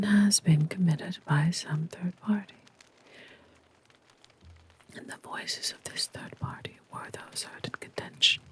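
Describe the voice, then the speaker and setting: ASMR reader doing a methodical male detective, whispered, deliberate, storybook tone. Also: medium pitch, natural voice. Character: calm, methodical male detective reasoning aloud.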